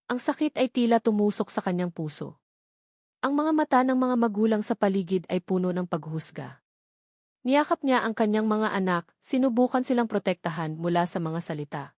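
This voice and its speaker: A woman's voice: medium pitch, expressive, normal speed, darkish tone, no room sound, clean studio sound. A woman reading scripted narration of a dramatic love story.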